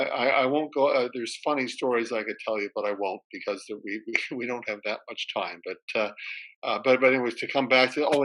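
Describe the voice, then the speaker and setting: Bright-voiced man who stutters. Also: mid-range voice, low-quality recording. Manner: stutters with blocks and repeats, good-humored. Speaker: professor and panelist. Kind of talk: panel discussion anecdote. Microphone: video call.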